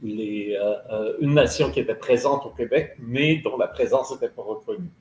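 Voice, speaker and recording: A man hosting a podcast, asking long questions in a halting way full of filler sounds. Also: mid-range voice, darkish tone. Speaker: podcast host. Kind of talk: interview questions. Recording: roomy recording, faint noise.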